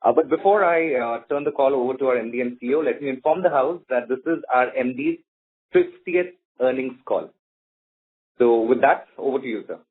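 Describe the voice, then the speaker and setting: A man's voice: medium pitch, brisk, husky. A man giving prepared opening remarks as host of a corporate earnings call. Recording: muffled narrowband conference call line.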